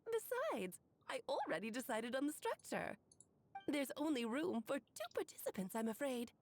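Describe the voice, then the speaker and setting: A woman's voice: sits high, very animated, moderate pace, full character voice. A woman voicing a playful, theatrical aunt who is a powerful mage in a video game, teasing and affectionate. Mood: teasing, coaxing. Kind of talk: scripted game character acting. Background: game music.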